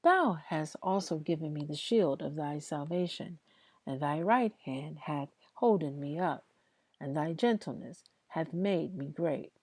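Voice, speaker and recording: Female scripture reader, very unhurried and emphatic, lowish pitch, mellow tone. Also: expressive, no room sound. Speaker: host reading scripture aloud. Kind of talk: slow devotional Bible reading aloud, with informal asides to listeners.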